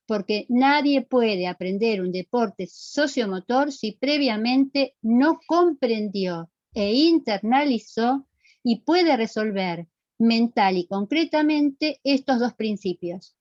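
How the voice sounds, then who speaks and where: A woman's voice: on the high side, a little mellow, no room sound, lo-fi sound. A middle-aged woman with a Rioplatense Argentine accent lecturing to students in a didactic, emphatic way, checking they follow with short questions. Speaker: physical education lecturer.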